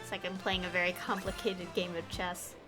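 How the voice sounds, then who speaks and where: A woman's voice: lowish voice, level tone, a little fast. A woman giving casual live commentary as she plays, thinking aloud as a game streamer. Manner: thinking aloud, often chuckling. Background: game music.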